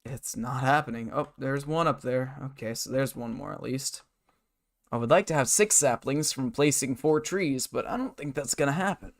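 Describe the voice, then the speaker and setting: A hoarse man live-streaming a game from his bedroom desk, rambling and muttering to himself and his chat. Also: mid-range voice, moderate pace. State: hoarse, scratchy throat.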